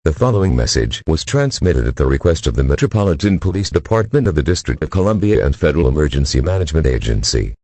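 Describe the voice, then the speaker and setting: A man's voice: rumbling low, sing-song, quick pace, hardly any pauses, mellow, husky, dry recording. A man reading scripted emergency alerts as an announcer, in a formal, synthetic-sounding delivery.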